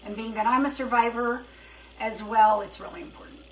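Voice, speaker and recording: A woman chatting casually on a live craft stream, thinking out loud as she works, her throat dry and her voice feeling worn. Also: a little high, steady tone, a little fast. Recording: roomy, slightly distant, faint hiss.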